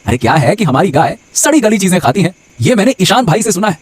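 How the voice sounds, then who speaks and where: A man voicing a scheming, cheerful village husband in an animated Hindi moral story, exaggerated and repeating his words. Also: sits high, fast, husky, no echo, full character voice. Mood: smug, confident.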